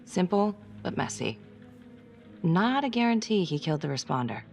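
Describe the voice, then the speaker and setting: Tough, tired female detective for a crime game; deep, fry-edged delivery over music. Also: sing-song, normal speed. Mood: grim, matter-of-fact. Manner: blunt, swearing, world-weary. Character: weary, guarded, tough female homicide detective. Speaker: video game actor. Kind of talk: naturalistic crime drama acting. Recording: music under the dialogue. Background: background music.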